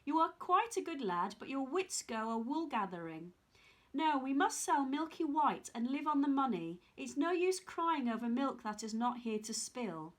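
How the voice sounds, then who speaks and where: A woman's voice: sits high, big pitch swings, normal speed. A woman voicing a hard-working, mournful but practical mother in a storybook reading. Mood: mournful, scolding.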